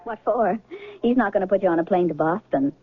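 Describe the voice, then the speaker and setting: An actress playing an unflappable, teasing airline counter clerk in a 1970s radio drama, breezy and matter-of-fact. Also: female voice, medium pitch, fairly quick, breathy edge, lightly acted. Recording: muffled, phone-like old radio broadcast.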